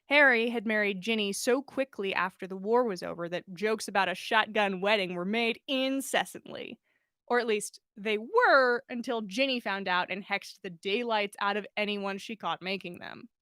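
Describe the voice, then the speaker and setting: A woman reading fan fiction aloud as expressive story narration, animated, with the odd stumble and self-correction. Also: medium pitch, normal speed, no echo, clean studio sound. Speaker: podcast host reading listener fan fiction.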